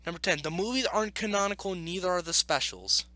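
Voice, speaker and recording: Guy with a high bright voice doing opinionated video commentary, super emphatic, dry close sound. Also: fast, no echo. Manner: argumentative, emphatic, repeats points. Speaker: video host reacting to an article. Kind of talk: opinionated casual commentary with read-aloud passages.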